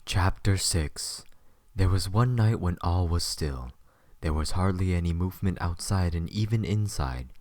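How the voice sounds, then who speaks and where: A man's voice: low voice, expressive, unhurried, darkish tone, no room sound. A man narrating a scripted story as the narrator of an audio drama.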